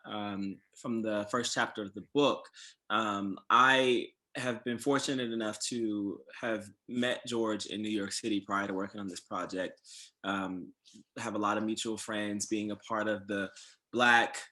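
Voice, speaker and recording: Lowish, bright-voiced male actor. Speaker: actor, interview guest. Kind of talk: conversational interview answers.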